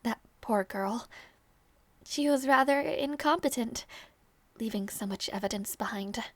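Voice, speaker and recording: A woman acting a young princess in an intimate audio roleplay, tender and wistful, with soft, regal phrasing that hides sadness. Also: fairly high pitch, no room sound. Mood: musing. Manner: soft, measured, regal phrasing with small teasing turns.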